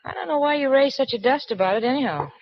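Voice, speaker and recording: An actress playing a breezy library clerk who talks in a casual, slangy, teasing way, in 1940s radio acting. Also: female voice, high-pitched, expressive, quick pace, no room sound. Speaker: library assistant. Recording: dull dry 1940s radio broadcast recording.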